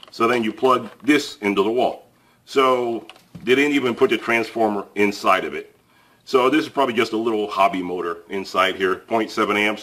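A man's voice: mid-range voice, a bit bright. Male host, casual tool-review chatter, plain-spoken, mid-range voice, loose fillers and restarts. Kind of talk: casual product review to camera. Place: indoors at a table, filmed from above.

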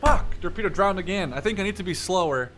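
A young man giving casual, profanity-laden gameplay commentary, excitable and often talking to himself as he plays. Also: sits high, quick pace, soft background music. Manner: excitable, swears freely, talks to himself. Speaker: gaming YouTuber. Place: small room at home.

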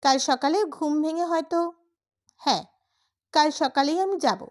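A woman's voice: high-pitched, measured pace. A woman voicing an anxious, caring male doctor, coaxing his friend in an audio story. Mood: soothing.

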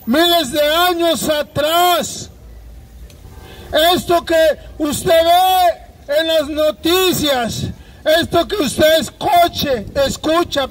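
A woman giving a slow, earnest street sermon through a handheld microphone outdoors. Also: sits high, slight background noise, poor audio. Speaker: street preacher. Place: city sidewalk outdoors. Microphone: handheld mic at a podium, far camera.

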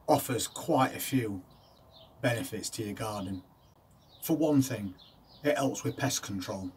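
A middle-aged man talks to a camera set back from him in a greenhouse, calmly walking through practical growing tips. His voice sounds a little distant, with faint outdoor ambience and the odd bird behind him. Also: medium pitch, a little hiss or hum. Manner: steady, methodical explaining with practical tips. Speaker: gardening channel host. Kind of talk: instructional talk to camera. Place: inside a garden greenhouse.

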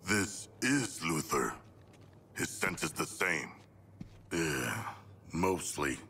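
An actor playing a blunt, literal-minded monster in a video game, with a plain, deliberate delivery. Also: male voice, extremely low pitch, lively intonation, pushed and bright, a little hiss or hum, heavily acted. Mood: matter-of-fact. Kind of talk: video game cutscene acting.

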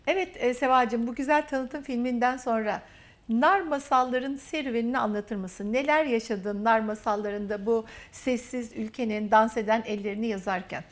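A woman hosting a TV interview, affirming her guest warmly and drawing out her phrases. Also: fairly high pitch, soft background music. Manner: warm, affirming, drawn-out phrasing. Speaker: TV interview host.

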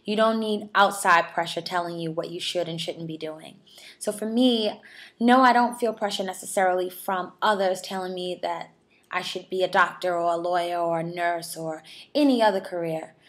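A woman's voice: lowish voice, fairly even intonation, normal speed. A woman in her twenties from New York City, a model, chatting in a friendly way as she answers viewer questions. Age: 20s. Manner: friendly, chatty, laughs often. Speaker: model and charity ambassador.

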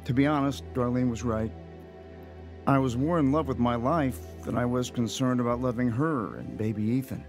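A man's voice: medium pitch, normal speed, a little mellow, close to an everyday voice. An actor with an American accent playing a restless, self-centred young husband and father in an audio drama. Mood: reflective. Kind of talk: audio drama acting with first-person narration. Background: background music.

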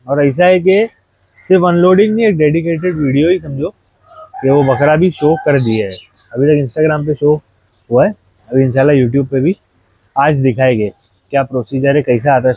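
A man's voice: a little high, darkish tone, breathy edge, no echo, lo-fi sound. A man, chatting casually to viewers as he shows goat farm work step by step. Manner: chatty, explains step by step, addresses viewers directly. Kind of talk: casual vlog narration. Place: goat farm and street, outdoors. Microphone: clip-on mic after setup. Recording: noisy outdoor street with traffic.

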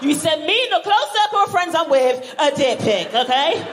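Animated high-pitched British woman doing stand-up. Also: moderate pace. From Barbados. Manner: animated, exclamatory, sweary, acts out reactions. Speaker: stand-up comedian. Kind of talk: stand-up comedy routine to a live audience. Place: live show on stage in a venue. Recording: live comedy venue with audience noise. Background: audience laughter and room ambience.

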